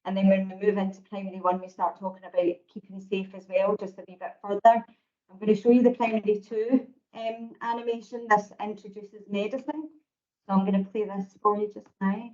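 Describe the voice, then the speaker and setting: A Scottish woman with a booming voice walks colleagues through teaching resources, speaking in a steady, even-pitched way with little melody. The sound is dull and lo-fi, the way a video call comes through. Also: a little fast. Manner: steady, explanatory, calls her own voice booming. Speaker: education presenter. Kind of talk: online meeting presentation.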